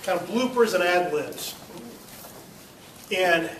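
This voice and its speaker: Joking guy talking to a club, high voice, kinda far from the mic. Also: animated, slow pace, fairly bright tone, a little hiss or hum. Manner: wry, joking. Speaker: club presenter. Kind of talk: informal talk to a club. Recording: echoey room, distant mic, crowd murmur.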